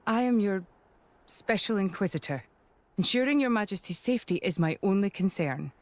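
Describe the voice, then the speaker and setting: A woman's voice: medium pitch, lively intonation, normal speed, no room sound, close to an everyday voice. A woman playing a dutiful, devoted imperial officer in a video game, speaking formally and earnestly. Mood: resolute. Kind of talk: dramatic video game voice acting.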